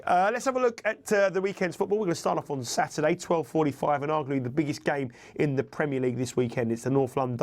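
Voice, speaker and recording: A man's voice: a little high, darkish tone. Stat-reeling male betting show host. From United Kingdom. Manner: brisk, reels off statistics. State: bunged up with a cold. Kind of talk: sports betting chat.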